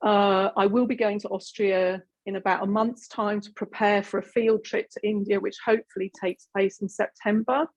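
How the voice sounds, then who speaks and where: Husky British woman presenting a webinar. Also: medium pitch, little pitch movement, normal speed, few pauses, low-quality recording. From Britain. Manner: chatty, many fillers and restarts. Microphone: video call.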